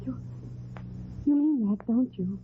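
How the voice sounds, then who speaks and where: High-voiced gushing radio fiancée. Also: female voice, slow pace. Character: melodramatic, sweet young female fiancée. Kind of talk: old-time radio drama acting. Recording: tinny old radio broadcast, faint noise.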